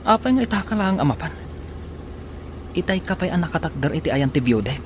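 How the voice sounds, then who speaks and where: A man's voice: fairly high pitch, big pitch swings, brisk. Man acting an anxious young sweetheart, noisy background. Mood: uneasy, evasive. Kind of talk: radio drama acting. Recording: clearly noisy, engine-like ambience behind.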